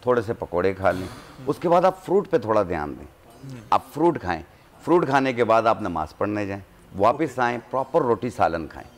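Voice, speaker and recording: A man with a fairly low voice speaks as a herbalist guest on a studio talk show. He walks through each home remedy calmly and steadily, in an instructive tone. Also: brisk. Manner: calm, instructive, gives home remedies step by step.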